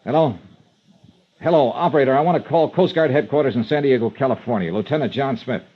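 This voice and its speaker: A man's voice: medium pitch, fast talker, slightly rough, no echo, lightly acted. A man acting in a 1950s radio drama, playing a hard-boiled, wary insurance investigator with clipped, emphatic, tough talk. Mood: businesslike. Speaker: star of a radio detective serial. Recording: dull vintage radio recording.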